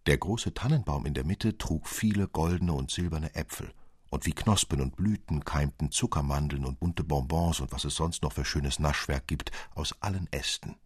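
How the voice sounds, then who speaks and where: Very deep, husky male storyteller. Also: moderate pace, no room sound, clean studio sound. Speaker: narrator. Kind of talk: literary fairy-tale audiobook narration.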